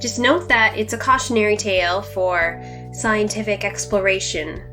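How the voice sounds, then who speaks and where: A woman's voice: medium pitch, fairly even intonation, normal speed, pushed and bright. A woman hosting a book review, narrating in a conversational, semi-scripted way with emphatic, informal asides. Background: music under the voice.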